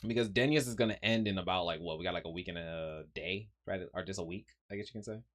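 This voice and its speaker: A man's voice: low voice, fast talker. A man streaming live, thinking aloud in a rambling casual way with frequent ums. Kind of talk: casual livestream commentary.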